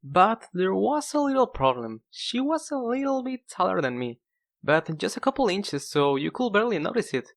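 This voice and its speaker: A man's voice: sits high, very animated, normal speed, creaky. Self-deprecating young man telling stories. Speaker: narrator of an animated storytime video. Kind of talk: casual scripted storytelling with acted dialogue.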